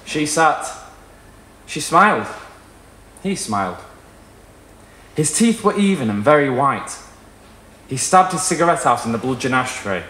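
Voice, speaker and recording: Expressive young man reading in echoey room. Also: mid-range voice. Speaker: reader at a live short story event. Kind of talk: expressive literary story reading. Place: small indoor performance room with wood panelling.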